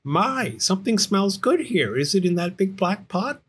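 A man's voice: high-pitched, sing-song, normal speed, slightly rough, voice pushed up, exaggerated voice. A man voicing a courteous, curious female hen, a party guest in a children's storybook, speaking politely. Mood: pleased.

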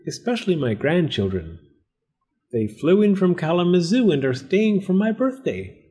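Man doing a coy older lady, lilting and high. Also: big pitch swings, normal speed, dark tone. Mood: pleasant, unflappable. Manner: innocent, evasive, polite. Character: coy, unflappable older woman, a cat-hiding tenant.

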